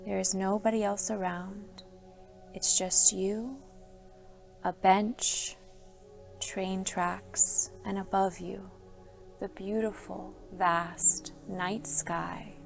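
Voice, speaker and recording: Slow, soothing woman guiding sleep meditation. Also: medium pitch, vocal fry. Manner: soft, slow, soothing instructions with calm pauses. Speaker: yoga instructor. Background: soft background music.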